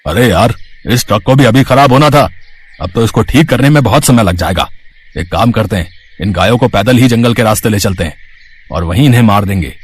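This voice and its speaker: A man voicing a callous, irritated cattle thief in a story, gruff and scheming. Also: fairly low pitch, lively intonation, a little fast.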